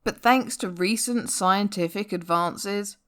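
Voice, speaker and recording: A woman performing a comic spoken-word poem in a sardonic, theatrical and emphatic manner, with deliberate pauses. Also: medium pitch, fairly bright tone, clean tone. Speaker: poetry reader.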